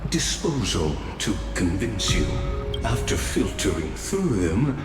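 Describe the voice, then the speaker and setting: Very slow, deep robot gatekeeper. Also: male voice, big pitch swings. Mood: calculating. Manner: formal, measured, pausing mid-phrase. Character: courteous, calculating robot gatekeeper, ancient male watcher. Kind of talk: scripted video game acting. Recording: echoey long reverb under loud music.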